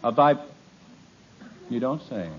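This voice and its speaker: A man's voice: mid-range voice, slow, heavy background noise, close to an everyday voice. An actor playing an exasperated suburban father with dry humor in a 1950s radio sitcom. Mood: wry. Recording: dull old radio recording.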